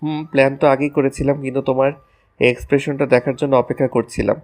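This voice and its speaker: A man's voice: medium pitch, level tone, quick pace, darkish tone, lightly acted. A man playing a playful, romantic young husband in an audio love story, teasing and tender.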